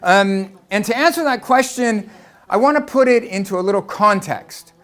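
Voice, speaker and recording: Middle-aged American man, higher-pitched, lecturing an audience and posing rhetorical questions. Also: expressive, normal speed, fairly bright tone. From Los Angeles, United States. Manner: explanatory, asks rhetorical questions. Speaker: speaker giving a talk.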